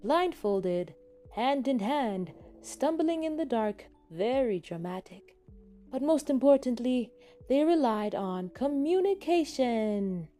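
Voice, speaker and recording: Woman voicing a showy male announcer, drawn-out and loud. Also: fairly high pitch, expressive, faint music behind, voice pushed up. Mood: showy, teasing. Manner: drawn-out, suspense-building delivery. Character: showy young male announcer, theatrical and smug. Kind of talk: fan fiction audio story.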